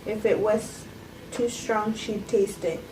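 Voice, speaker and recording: A woman's voice: mid-range voice, steady tone, lightly acted. Woman reading a coolly self-justifying poisoner, echoey room. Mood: matter-of-fact. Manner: halting, stumbling amateur reading. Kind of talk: cold table read of a script. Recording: echoey room, distant mic, noisy background.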